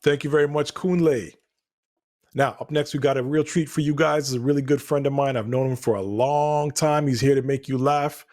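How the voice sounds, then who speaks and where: A man's voice: medium pitch, steady tone, a little fast, darkish tone. A man hosting a show, introducing the next act in a friendly, hyped way. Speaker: host. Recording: distant from the mic.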